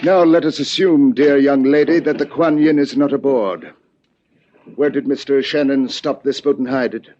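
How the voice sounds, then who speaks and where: An actor playing a smooth, menacing gunman in 1950s radio drama, speaking with formal, mock-courteous phrases and repeating himself. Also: mid-range voice, moderate pace, dark tone, natural voice. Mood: mock-polite menace. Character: smooth, menacing gunman, mock-polite, talks to silent henchman. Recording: muffled, noisy old radio recording.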